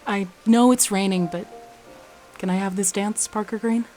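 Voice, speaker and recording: An earnest teenage boy, voiced by a woman in a radio drama. He pleads softly and sincerely at a medium pitch for a female voice, with music beneath. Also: steady tone, normal speed, close to an everyday voice, rough recording. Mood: hopeful, romantic. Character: earnest, apologetic teenage boy at prom night.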